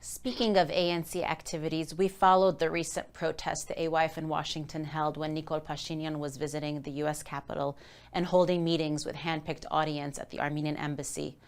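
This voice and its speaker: A woman's voice: sits low, level tone, a little fast, clean tone. A woman hosting a news interview, putting formal, prepared questions to a guest. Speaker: interviewer, news program host.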